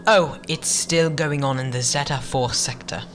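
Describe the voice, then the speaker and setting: An actor playing an anxious, deferential advisor in a sci-fi audio drama, formal, with a hesitant stammering delivery. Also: male voice, medium pitch, fairly even intonation, normal speed, a bit bright, faint music behind, close to an everyday voice. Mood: uneasy briefing.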